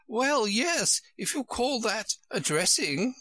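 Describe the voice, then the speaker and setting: Man putting on a flustered old queen, high fretful wail, storybook, bright dry voice. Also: sing-song, takes it slowly, no room sound, exaggerated voice.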